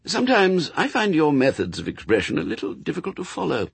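Casting: male reader as prim, courteous angel for a storybook, highly expressive intonation, dry close sound. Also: brisk, creaky, breathy edge, no room sound, heavily acted. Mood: resigned unease. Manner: polite, old-fashioned, mannered phrasing.

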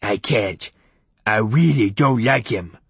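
A man voicing a guileless, cheeky talking pet hound in an audiobook, plain-spoken and eager, with a gravelly voice. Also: on the low side, slow pace, no room sound, heavily acted. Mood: uneasy. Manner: plain-spoken, naive, easily offended. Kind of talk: audiobook character acting.

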